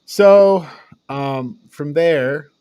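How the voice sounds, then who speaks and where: A man's voice: a little high, drawn-out words, mellow, clear, resonant tone, dry recording. A man co-hosting a podcast, retelling a film in casual, rambling, sweary chat with frequent chuckles. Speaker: podcast co-host.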